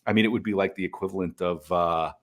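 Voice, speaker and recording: A man's voice: low voice, steady tone, quick pace. A man co-hosting a podcast, discussing film casually with thoughtful, hesitant phrasing. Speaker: podcast co-host.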